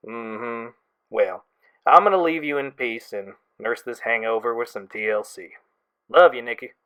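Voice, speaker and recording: A man playing a wry, exasperated but affectionate young friend in an audio drama, talking casually with a gently complaining manner. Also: mid-range voice, a little slow, clear, resonant tone, lightly acted.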